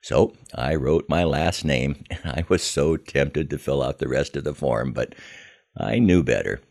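An older American man telling a scripted first-person story in a measured, reflective manner. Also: rumbling low, creaky, a little mellow. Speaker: podcast host telling his memoir.

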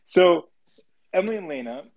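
A man's voice: high voice, animated, moderate pace, a crowd in the background. A man hosting a live audio conversation, upbeat and joking, a bit rambling as he sets things up. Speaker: host.

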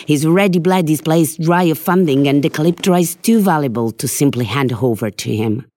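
A woman's voice: sits low, fairly quick, warm tone. A woman playing a defiant, sardonic scientist in an audio drama. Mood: confiding, eager. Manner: sardonic, challenging. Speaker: moth researcher.

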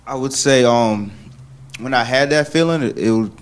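A man's voice: medium pitch, moderate pace, smooth. A man in a church group speaking hesitantly, cutting off and restarting his words.

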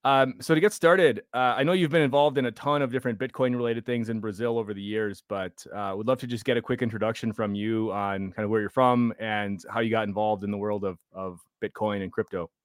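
Relaxed male podcast host. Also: on the low side, brisk, few pauses, dry recording, studio quality. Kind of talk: podcast interview hosting.

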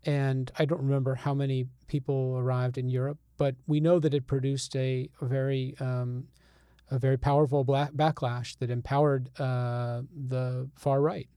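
A man with a warm, smooth voice of medium pitch gives measured explanations as a reporter being interviewed. He picks his words carefully and hesitates as he goes, and the sound is dry and close. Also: little pitch movement, no echo. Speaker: newspaper reporter as interview guest.

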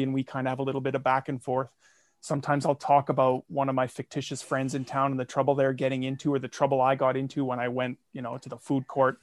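A man's voice: medium pitch, no echo. A man who makes a comedy fiction podcast, describing his show as an interview guest, in an explanatory and enthusiastic way. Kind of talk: casual interview.

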